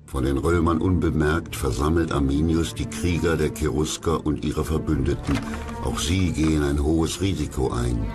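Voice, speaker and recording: A man with an extremely deep voice narrates a historical documentary. He is solemn, measured and dramatic, with music clearly playing behind him. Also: steady tone.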